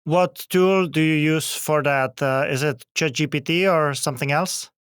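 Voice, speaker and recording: A podcast host with a Czech accent, whose voice sits high for a man and sounds smooth and resonant. He talks casually and haltingly, with lots of fillers. The sound is dry and close. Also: a bit bright, no room sound. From Czech Republic. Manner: hesitant, many fillers and restarts. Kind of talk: casual interview chat.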